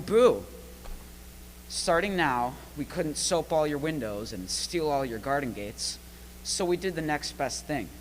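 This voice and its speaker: A man's voice: on the high side, fairly bright tone, rough recording. A man acting a wry, theatrical old-time radio showman, addressing listeners in a playful, mock-grand way. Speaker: actor in a school radio play. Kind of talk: 1940s-style radio host address. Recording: faint hum and noise, live stream.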